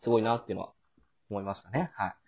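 A man's voice: on the low side, racing, darkish tone, slight background noise. A man hosting a casual backstage chat in a chatty, explanatory manner, as a producer talking things through. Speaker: host and producer of an audio drama. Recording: slightly muffled, lo-fi voice call.

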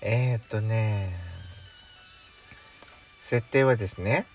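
A man's voice: on the low side, slow, a little mellow. A man chatting casually as co-host of a podcast. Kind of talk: casual chat. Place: recorded in a parked car. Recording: background music under speech.

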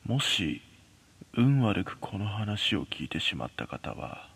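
A man narrating a scripted horror story as a podcast narrator, in a measured, dramatic way with pauses. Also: on the low side, very animated, gravelly, a bit bright, husky.

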